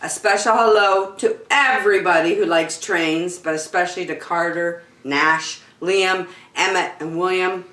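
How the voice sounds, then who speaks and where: A woman reading aloud expressively as a storyteller for young children. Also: on the low side. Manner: expressive, deliberate enunciation. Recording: roomy echo.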